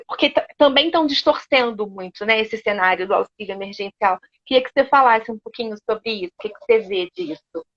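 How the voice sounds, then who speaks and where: A woman's voice: high-pitched, a bit bright. A woman interviewing, speaking reflectively and hesitantly with many fillers. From Brazil. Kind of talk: conversational interview. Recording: dull lo-fi sound.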